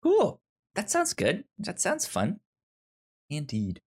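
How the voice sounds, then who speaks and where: A man's voice: high voice, slow pace, slightly rough. A man co-hosting a movie podcast, chatting casually with lots of restarts and laughs. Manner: relaxed, rambling, frequent restarts and laughs. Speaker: podcast co-host. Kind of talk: casual chat.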